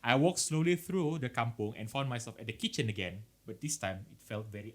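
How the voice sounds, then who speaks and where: Male podcast co-host, Malaysian accent, relaxed conversational storytelling, code-switches between English and Malay. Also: mid-range voice, fairly quick. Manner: mixes English with Malay, explaining as they go. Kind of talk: casual chat, reading out listener horror stories.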